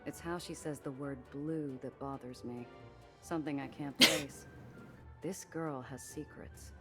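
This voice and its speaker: Low-voiced hardboiled lady smuggler. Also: fairly even intonation, measured pace. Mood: suspicious, confident. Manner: dry, world-weary, hardboiled. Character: wry, guarded streetwise woman smuggler, hardboiled narrator. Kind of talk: noir first-person narration and game dialogue. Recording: music behind the voice.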